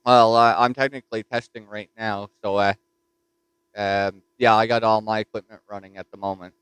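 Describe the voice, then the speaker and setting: A man with a Newfoundland accent chatting casually as a ham radio operator from his home station, relaxed and easygoing. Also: on the low side, moderate pace, smooth, no echo, low-quality recording. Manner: easygoing, says "roger that".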